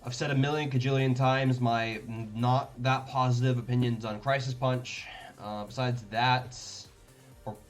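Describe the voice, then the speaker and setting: Young male streamer, mid-pitched, rambling and casual, thinking aloud over faint music. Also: fairly even intonation, a little slow. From Denmark. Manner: thinks aloud, rambling with many fillers and restarts. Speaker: card game streamer. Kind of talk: casual live-stream commentary.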